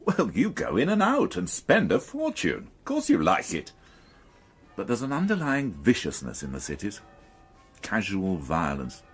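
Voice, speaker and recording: Gloomy inspector, low husky voice, dryly sardonic grumbler, radio drama. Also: male voice, huge pitch swings, measured pace, faint music behind, full character voice. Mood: grumbling, wry. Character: gloomy, dry-witted police inspector, married man. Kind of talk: naturalistic British radio drama acting.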